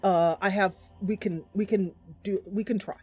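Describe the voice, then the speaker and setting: A woman's voice: mid-range voice, fairly even intonation, moderate pace, dark tone, husky, dry recording. A woman streaming a game, casually commentating live and thinking aloud as she chats with her viewers.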